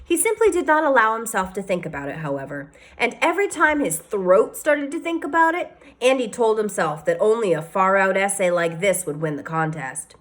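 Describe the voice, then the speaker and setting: A woman teacher reading a children's novel aloud with expressive storytelling and varied emphasis. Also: mid-range voice.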